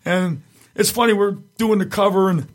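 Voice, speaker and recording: A middle-aged man with a raspy, husky voice that sits high for a man. He rambles through his stories in loose, casual interview chat, circling back with restarts. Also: moderate pace. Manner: rambling storyteller, many restarts, frequent chuckles. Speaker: guest, tribute singer.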